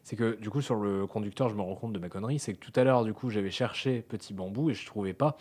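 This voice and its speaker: Man, podcast co-host, fast joking banter, low husky voice. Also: a little mellow. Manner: joking, heavy use of filler phrases. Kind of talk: casual podcast chat.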